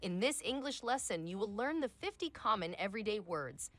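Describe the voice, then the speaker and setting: A woman narrates a scripted English lesson clearly and steadily. Her pitch moves in a lively way, and music plays behind her. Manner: clear, measured teaching delivery.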